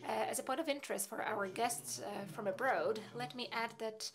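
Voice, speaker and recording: Female live interpreter with crowd behind. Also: on the high side. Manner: measured phrases broken by short hesitations. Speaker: simultaneous interpreter. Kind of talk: live interpretation of a formal speech.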